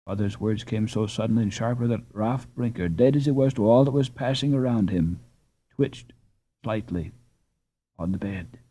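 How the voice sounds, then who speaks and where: A man narrating a children's classic audiobook in a measured, unhurried reading. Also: on the low side, mellow. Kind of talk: scripted storybook narration.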